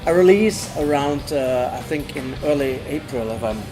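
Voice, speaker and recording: A man's voice: medium pitch, expressive. German guy, singer chatting in an interview, lots of uh and restarts, music going behind him. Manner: halting, many fillers and restarts. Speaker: band singer. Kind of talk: casual interview chat.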